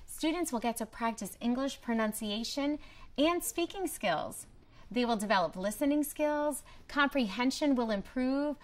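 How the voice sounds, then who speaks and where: A woman presenting a promotional training video in a scripted, clearly structured explanatory way. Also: high voice. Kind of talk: scripted instructional narration.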